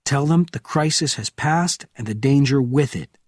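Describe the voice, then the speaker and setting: A man reading as a calm, hardened agent. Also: medium pitch, moderate pace, no room sound, studio quality, pitched above the performer's own voice, close to an everyday voice. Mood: calm, urgent.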